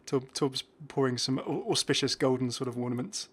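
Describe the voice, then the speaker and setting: A man's voice: medium pitch, steady tone, a little fast, fairly bright tone, breathy edge. A man hosting a gaming video, giving casual commentary and musing aloud in a chatty way. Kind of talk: casual game update commentary.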